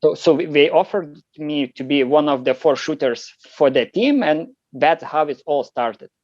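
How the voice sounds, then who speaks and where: A man's voice: on the high side, a little mellow, dry recording. Lithuanian man, non-native English, hesitant answers, dull dry sound. Podcast guest. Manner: halting, many fillers and restarts. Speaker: competitive shooter guest.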